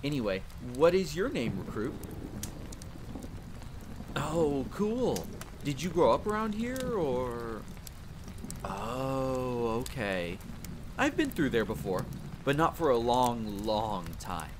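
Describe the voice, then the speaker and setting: The young recruit who chats up every stranger at a rainy army camp in a fantasy audio play a man acting, lively and eager, voice leaping about over the patter. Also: takes it slowly, pauses often. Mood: curious, jovial. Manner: talkative, eager, bantering. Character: friendly, chatty, excitable young male soldier, fantasy spearman. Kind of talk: immersive roleplay audio drama addressed to the listener. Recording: constant rain and rumble behind voice. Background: rain, campfire ambience, rolling thunder.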